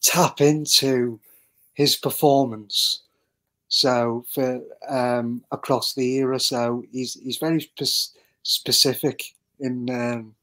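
A man giving a reflective interview as a voice actor on an online call, speaking haltingly as he thinks aloud. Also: medium pitch, fairly even intonation, a bit bright. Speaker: podcast guest, voice actor.

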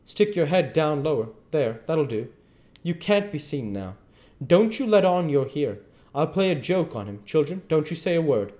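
A man voicing a fretful, flustered older woman in a high put-on voice. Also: very animated, normal speed, dark tone. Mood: urgent. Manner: high fluttering falsetto, anxious.

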